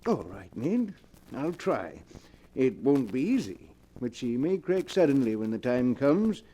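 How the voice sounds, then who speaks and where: An actor playing a proud, shady professor who fakes ghosts for hire, in theatrical, measured 1940s radio mystery style. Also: male voice, mid-range voice, big pitch swings, dark tone. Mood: cautious, calculating.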